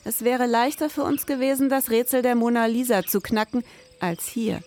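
An actress playing a precise, sober detective in a scripted noir audio drama, speaking in formal, matter-of-fact wording. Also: female voice, fairly high pitch, steady tone, normal speed, a little mellow, close to an everyday voice. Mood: brooding, noir.

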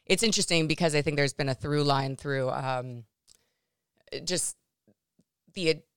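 Upbeat chatty lady hosting a podcast, low and really smooth, dry sound. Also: measured pace, dry recording. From California. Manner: upbeat, chatty, many restarts. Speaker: podcast host. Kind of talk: casual interview hosting.

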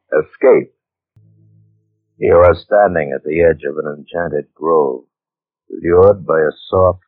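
A man's voice: rumbling low, dry recording. A man announcing in a dramatic, portentous 1940s radio style. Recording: muffled 1940s radio recording.

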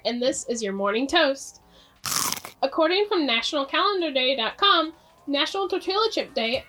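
A woman's voice: high voice, fairly bright tone. A woman presenting a podcast news segment, reading from a script.

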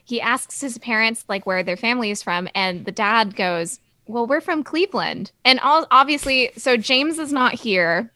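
A woman's voice: a little high, moderate pace, hardly any pauses, sharp tone, no room sound. A woman hosting a casual film discussion, speaking in an informal, conversational way. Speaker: podcast host.

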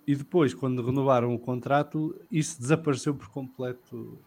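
A man hosting a podcast, reading listener messages in a brisk, matter-of-fact way. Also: mid-range voice, steady tone, darkish tone. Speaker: podcast host.

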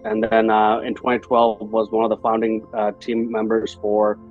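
A man's voice: on the low side, completely flat delivery, moderate pace, a little mellow, lo-fi sound, soft background music. A man in his forties from Bangladesh, a guest entrepreneur talking in an earnest, reflective way, with many fillers. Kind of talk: conversational interview.

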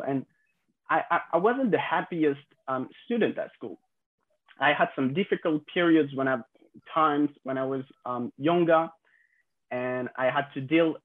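French man in his twenties on a tinny line. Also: medium pitch. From France. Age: 20s. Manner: halting, many fillers and restarts. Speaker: podcast guest. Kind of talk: casual interview storytelling.

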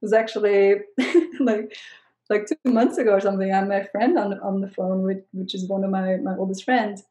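Mellow-voiced woman guest, roomy echo. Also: mid-range voice, steady tone, moderate pace. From Switzerland and the Netherlands. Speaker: guest, coach and educator. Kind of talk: casual conversational interview.

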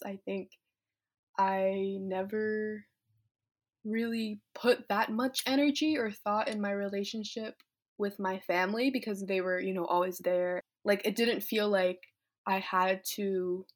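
Teen girl from vietnam, activist type, just talking honestly about her life, thoughtful, says like and you know a lot. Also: medium pitch. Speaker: teenage climate activist. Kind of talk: casual personal reflection.

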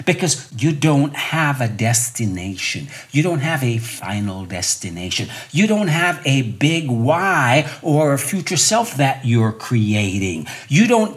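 A man in his fifties hosting a solo motivational podcast as a fitness coach, talking conversationally and emphatically with rhetorical questions and repeated key points. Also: fairly high pitch. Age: 50s.

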